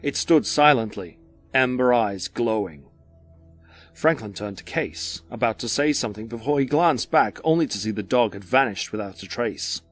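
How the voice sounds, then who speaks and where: A man narrating a dramatic story from a script in a measured, descriptive way. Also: medium pitch, lively intonation, faint music behind.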